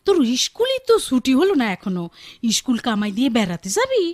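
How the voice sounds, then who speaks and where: A young mother from a village, caring yet gently strict, for radio drama. Her Bangladeshi-accented voice is rather high and very animated. It is recorded dry and close. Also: fast, dry recording. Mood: teasing, motherly. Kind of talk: Bengali radio drama acting.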